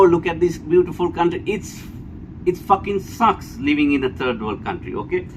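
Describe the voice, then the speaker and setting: A man with a Sri Lankan accent speaks his opinions off the cuff in a medium-pitched voice. He is blunt and emphatic, repeating his points and tagging them with short questions for agreement. A clearly audible air-conditioner noise runs behind him. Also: low-quality recording. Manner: emphatic, repetitive, blunt, often seeking agreement with tag questions. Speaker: vlogger giving personal opinion. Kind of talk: unscripted opinion monologue.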